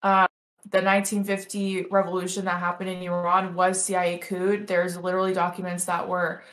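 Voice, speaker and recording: A woman's voice: lowish voice, monotone, a bit bright, reverberant. Earnest young woman on a stream. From United States. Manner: earnest, fast, many ums and restarts. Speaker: political streamer, guest. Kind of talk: casual talk stream conversation.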